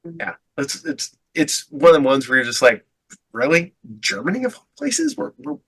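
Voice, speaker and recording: A man's voice: a little high, wildly swooping pitch, moderate pace, fairly bright tone, husky. A male podcast host chatting casually, stammering over restarts and laughing often. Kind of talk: casual chat.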